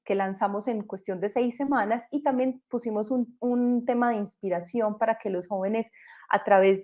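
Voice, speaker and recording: A woman's voice: mid-range voice, a little mellow. A Colombian woman in her thirties with a Colombian accent, a company co-founder giving an explanatory webinar presentation over a video call, with frequent hesitations and restarts. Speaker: company co-founder and panel speaker.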